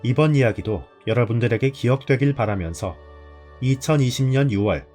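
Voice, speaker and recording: A man's voice: mid-range voice, normal speed, darkish tone. A man hosting a scripted review show, narrating and reflecting on a drama in a storytelling style. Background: background music.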